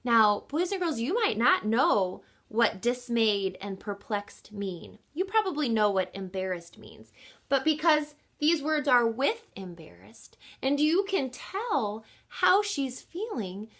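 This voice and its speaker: Animated, high-voiced woman reading to children. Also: slow. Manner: encouraging, addresses children with questions and explanations. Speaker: reading teacher reading a picture book aloud. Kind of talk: expressive children's read-aloud with teaching asides.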